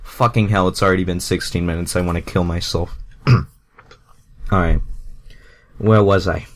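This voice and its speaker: A man's voice: sits low, steady tone, normal speed. A man reading fan fiction aloud in an amateur, mocking way, crude and self-deprecating, breaking off to comment.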